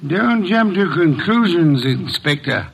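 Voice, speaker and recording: A man playing a pompous, fussy, indolent master detective in an old radio drama, speaking in formal, deliberate phrases. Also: high voice, lively intonation, gravelly, breathy edge, heavily acted, poor audio. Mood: dry, superior.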